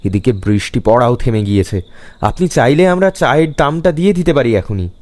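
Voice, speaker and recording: A man voicing a confident young man from town who brushes off fear in an animated horror story. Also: medium pitch, quick pace, no echo, lightly acted. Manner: assured, dismissive of fear.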